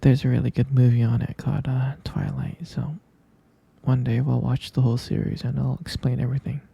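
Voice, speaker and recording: A man playing a gentle, sleepy half-human vampire boyfriend in an ASMR roleplay, murmuring hesitant, tender reassurance close to the mic in a soft, breathy whisper. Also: medium pitch, flat delivery, brisk, dull audio, heavily acted. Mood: sleepy, explaining.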